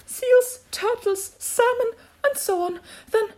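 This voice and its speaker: Shrill, excitable Mock Turtle creature. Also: female voice, takes it slowly. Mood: excited. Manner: exclamatory, effusive. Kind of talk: storybook character voice.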